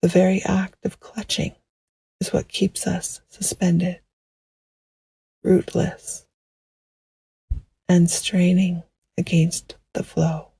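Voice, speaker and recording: Breathy, slow-speaking woman guiding meditation. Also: lowish voice, little pitch movement, no echo. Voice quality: breathy, soft, often near a whisper. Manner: gentle, hushed, unhurried, soothing. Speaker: meditation guide. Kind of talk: slow poetic guided meditation narration.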